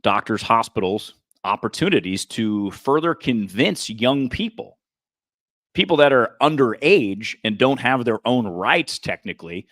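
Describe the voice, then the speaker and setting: A man's voice: mid-range voice, very animated, a little slow, fairly bright tone, no echo, studio quality. A man co-hosting a podcast, explaining in an earnest, casual political-talk manner. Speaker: podcast co-host.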